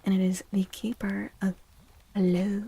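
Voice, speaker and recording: A woman softly speaking and whispering in a gentle, hushed ASMR style, as a livestream host giving a tarot reading. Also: mid-range voice, little pitch movement, gravelly, slightly rough, no echo, poor audio. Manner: gentle, hushed, unhurried, soothing repetition.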